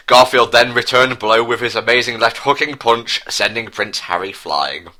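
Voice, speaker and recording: A man's voice: medium pitch, normal speed, sharp tone. A man narrating as co-host in a pompous, overblown mock-dramatic style, reading from a script.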